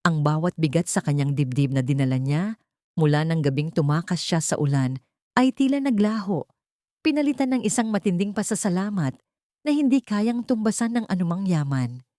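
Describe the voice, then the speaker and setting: A woman narrating a dramatic audiobook story in a steady storytelling manner. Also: lowish voice, moderate pace, no echo, clean studio sound.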